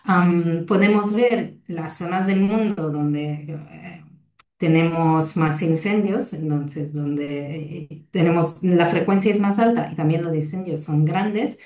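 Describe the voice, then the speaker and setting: A woman's voice: on the low side, takes it slowly, a little mellow. A woman in her thirties from Italy, speaking with an Italian accent and giving a webinar lecture with frequent fillers and restarts. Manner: hesitant, many fillers and restarts. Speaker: lecturer, fire safety researcher. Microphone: video call. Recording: roomy echo, distant from mic.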